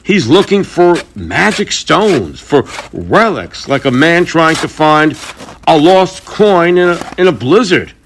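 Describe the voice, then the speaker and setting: A man playing a weary, brooding thunder god in a mythic audio drama, confiding and halting in his burdened role as protector. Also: fairly high pitch, very animated, a little hiss or hum, exaggerated voice. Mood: bitter, exasperated.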